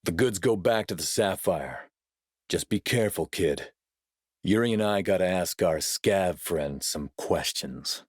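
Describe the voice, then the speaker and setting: Husky, low-voiced militia warlord. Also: male voice, animated, no room sound, studio quality, exaggerated voice. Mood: gruff command. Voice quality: rough, husky. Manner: commanding, measured, threatening. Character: ruthless middle-aged male militia warlord, cold and menacing. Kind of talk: scripted video game character lines.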